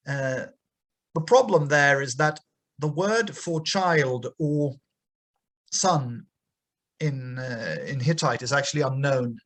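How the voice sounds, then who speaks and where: A man reading and explaining an ancient text as an informal lecture, pausing and thinking aloud as he goes. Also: mid-range voice, slightly rough. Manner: hesitant, thinking aloud with pauses and repeats.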